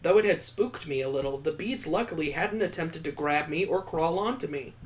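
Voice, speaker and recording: Guy with kinda high voice doing a lets play, reading visual novel text out loud n making dry jokey remarks. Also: normal speed. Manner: wry, joking asides between read passages. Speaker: gaming host reading a visual novel aloud. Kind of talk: let's play read-aloud with ad-libbed commentary.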